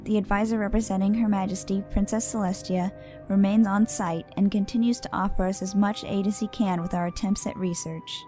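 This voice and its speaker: A woman playing a businesslike pony official reading out a formal, measured investigation report in an audio drama. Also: medium pitch, warm tone, lightly acted.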